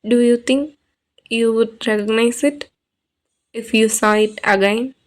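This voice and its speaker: A woman playing a solemn, ominous storyteller, narrating scripted horror in a deliberate, flat way. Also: a little high, lightly acted.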